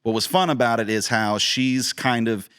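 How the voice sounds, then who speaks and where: A man's voice: medium pitch, normal speed, a bit bright, clean tone. A man co-hosting a podcast in casual chat, explaining things conversationally and acting out imagined remarks. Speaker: director, podcast co-host.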